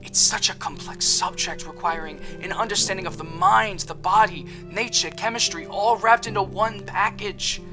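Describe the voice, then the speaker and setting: A man's voice: high-pitched, moderate pace, few pauses, over music, close to an everyday voice, rough recording. An actor playing a cheerful, boastful male apothecary suspect in an audio drama, with a chipper, self-satisfied, theatrical manner. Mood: storytelling.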